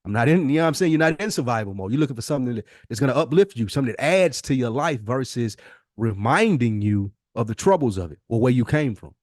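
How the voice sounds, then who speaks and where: A man's voice: mid-range voice, expressive, fast talker, dry recording, studio quality. An American man co-hosting a podcast, talking casually with heavy slang, swearing and emphatic phrasing. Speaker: podcast co-host. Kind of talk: casual conversation.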